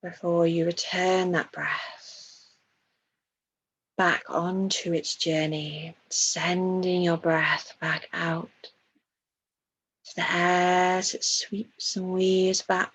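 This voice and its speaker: A woman leading a guided meditation in a soft, breathy voice, soothing and hushed, with long pauses between phrases. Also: fairly low pitch, little pitch movement, poor audio.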